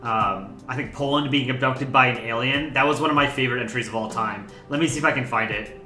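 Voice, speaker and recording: A young man hosts a laid-back livestream, his voice bright and forward. He rambles casually and thanks viewers by name. The room is echoey, with faint music underneath. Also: mid-range voice, quick pace. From United States. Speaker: livestream host. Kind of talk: casual chat.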